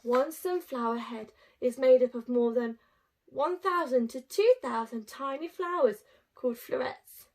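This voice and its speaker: Casting: woman, higher-pitched voice, primary teacher doing an expressive read-aloud for young pupils with simple asides. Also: measured pace. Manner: addresses young children, explaining simply with rhetorical tag questions. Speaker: infant school teacher reading to pupils.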